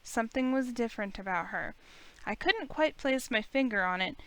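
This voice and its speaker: A woman voicing a grieving high school heroine, bitter yet earnest, in visual novel narration and dialogue. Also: expressive, normal speed, fairly bright tone, clear, resonant tone, no room sound. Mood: uneasy, reflective.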